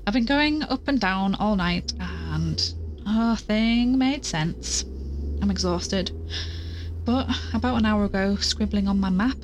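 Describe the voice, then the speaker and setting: A woman's voice: mid-range voice, close to an everyday voice. An actress in an audio drama playing an exhausted, anxious but determined woman who is recording a spoken log. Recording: clearly noisy outdoor recording with crickets.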